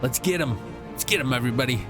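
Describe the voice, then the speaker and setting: A man's voice: medium pitch, quick pace, over music. A man co-hosting a gaming video, bantering casually, laughing often and swearing freely. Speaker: co-host.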